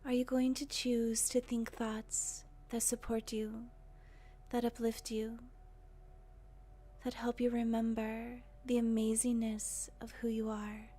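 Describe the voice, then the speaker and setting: Slow, soft-spoken woman guiding meditation. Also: fairly high pitch, level tone. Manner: gentle, breathy, unhurried with long pauses. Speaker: guided meditation host. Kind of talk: guided meditation, soothing narration.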